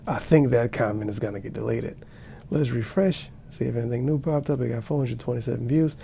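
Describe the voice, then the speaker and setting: A man's voice: medium pitch, fairly quick, gravelly, warm tone, slight background noise. A man giving sarcastic, profane reaction commentary while watching a video. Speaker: commentary streamer.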